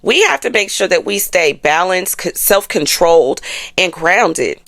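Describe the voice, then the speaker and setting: A woman's voice: on the low side, expressive, a little slow, vocal fry, fairly bright tone, no echo. An American woman hosting an informal astrology forecast, speaking colloquially and emphatically with frequent check-in tags. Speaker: host of an astrology channel.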